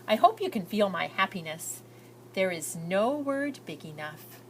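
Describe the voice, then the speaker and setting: An earnest, shy young girl shares her letters in a storybook, voiced by a woman. The delivery is heartfelt and lilting, at a medium pitch. A little noise sits behind the voice. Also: big pitch swings, unhurried, rough recording. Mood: earnest, hopeful.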